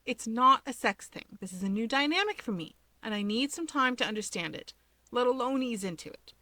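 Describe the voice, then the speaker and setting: Insecure, gentle sister for an audiobook , acted by a woman: bright, slightly raised voice, careful yet firm. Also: fairly high pitch, lively intonation, a little fast, dry recording, voice pushed up. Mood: flustered, firm.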